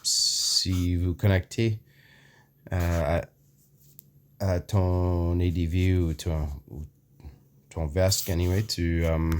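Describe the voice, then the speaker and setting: A man whose first language is English and who has an English Canadian accent. He walks viewers through an app in a casual tutorial, hesitant, full of fillers, clearing his throat often. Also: low-pitched, slight background noise. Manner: hesitant, many fillers, mixes in English words. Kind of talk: casual screen walkthrough.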